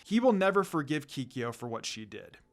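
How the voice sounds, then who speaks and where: A woman's voice: rumbling low, sing-song, brisk. A woman reading fan fiction aloud as an amateur narrator, telling the story in a dramatic storytelling way.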